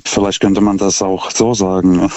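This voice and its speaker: A forty-year-old man calling a radio phone-in, speaking non-native German with an accent, haltingly, stammering over the starts of words. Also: low-pitched, flat delivery, mellow. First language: not German. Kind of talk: unscripted, reflective call-in talk. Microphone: telephone. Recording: dull, band-limited phone call.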